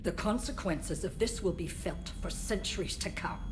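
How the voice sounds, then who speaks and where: A woman's voice: lowish voice, expressive, moderate pace, over music, natural voice. An actress playing a stern, obstinate female alien politician in a video game, speaking with cold, clipped haughtiness. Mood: grudging, ominous.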